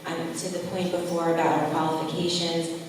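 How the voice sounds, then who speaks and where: A woman's voice: fairly low pitch, flat delivery, brisk. A middle-aged woman making informal, off-the-cuff closing remarks as a school board candidate at a public forum. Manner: informal, loosely structured speaking. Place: large echoey room at a public forum. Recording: echoey hall from far off, clear noise.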